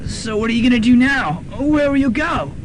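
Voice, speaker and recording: A man's voice: extremely high pitch, expressive, a little fast, slight background noise, poor audio. A man playing a panicky student roommate in a student radio drama. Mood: alarmed. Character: Laszlo, young male student, panicky.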